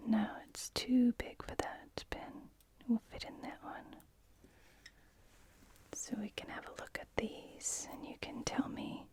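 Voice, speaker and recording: A woman speaking in a soft, breathy whisper, gently role-playing an attentive shop assistant for ASMR. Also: a little high. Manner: gentle, unhurried, attentive to the customer.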